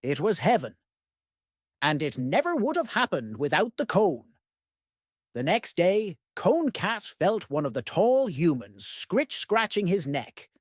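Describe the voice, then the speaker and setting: An expressive storyteller reads a picture book for young listeners. The delivery swoops up and down at a measured, slow pace. The sound is close and dry. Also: medium pitch, no echo. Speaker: read-aloud host. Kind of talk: expressive children's storybook read-aloud.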